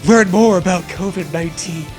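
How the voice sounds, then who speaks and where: A man's voice: high-pitched, moderate pace, soft background music. A man co-hosting a comedy show, mockingly reading fan fiction aloud with sarcastic, profane banter.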